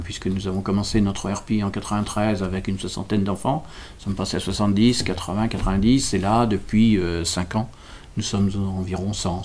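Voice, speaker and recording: A man from France giving explanatory interview answers as a local representative, halting and correcting himself as he searches for words. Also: on the low side, a little mellow. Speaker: local representative explaining a village school project.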